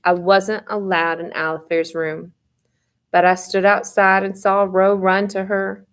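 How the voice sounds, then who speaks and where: Female audiobook reader, medium pitch, expressive first-person storytelling. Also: measured pace.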